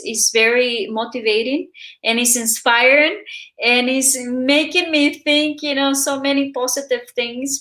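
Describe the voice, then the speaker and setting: Halting higher-voiced woman host. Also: fairly bright tone. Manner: halting, many fillers, admiring. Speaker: talk show host. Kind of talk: interview questions.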